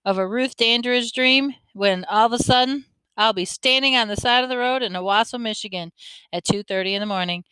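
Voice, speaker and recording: A woman's voice: mid-range voice, fairly bright tone, clean tone, dry recording, natural voice, rough recording. A woman reading a folksy, teasing grandfather. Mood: earnest. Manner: folksy, joking, chatty. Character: friendly teasing older man, grandfather, army veteran.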